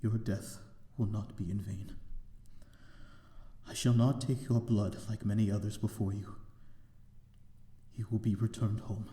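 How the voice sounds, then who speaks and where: Man playing a dark-voiced, halting, lonely vampire. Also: lowish voice, flat delivery, echoey room, natural voice. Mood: bittersweet, resigned. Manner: halting, stammering, formal old-fashioned phrasing. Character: lonely, remorseful male vampire of a desolate manor. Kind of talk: scripted ASMR audio roleplay.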